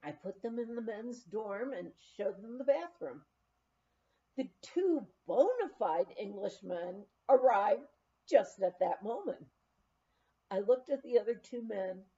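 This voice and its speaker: A woman reading a memoir aloud as scripted narration, in an expressive storytelling manner. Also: mid-range voice, takes it slowly, dull audio. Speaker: audiobook reader.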